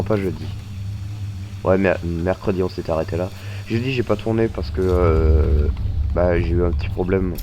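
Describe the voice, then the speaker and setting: A man's voice: deep voice, background noise. A man with a head cold, chatting casually and hesitantly as he streams a game live. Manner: hesitant, chatty, thinking aloud. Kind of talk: casual gaming livestream commentary.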